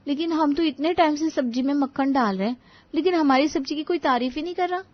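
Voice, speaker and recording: An eager, naive young wife from an animated family tale, voiced by a woman. Her high voice is earnest and respectful. The recording is dry and a little dull. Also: fast, dry recording, close to an everyday voice. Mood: earnest, puzzled. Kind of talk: animated moral-story dialogue.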